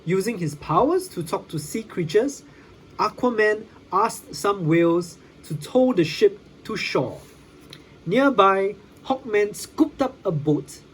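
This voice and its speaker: A storybook reader giving a dramatic, expressive read-aloud for children. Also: mid-range voice, slow pace, a little hiss or hum, poor audio. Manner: dramatic, with sound effects.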